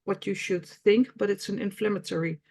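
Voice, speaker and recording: A woman in her fifties with a Dutch accent presents to an online webinar in English, which is not her first language. She talks at a middle pitch, pausing often with fillers and restarting her words as she goes. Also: fairly even intonation, mellow, slight background noise. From Netherlands. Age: 50s. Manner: hesitant, many fillers and restarts. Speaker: patient representative.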